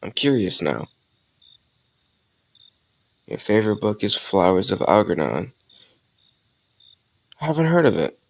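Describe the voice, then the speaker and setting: A man playing an easygoing male college student who chats casually and teases gently in an intimate romantic audio roleplay. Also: on the low side, sing-song, unhurried, creaky, long pauses, exaggerated voice. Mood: surprised, curious. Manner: casual, conversational, gently teasing.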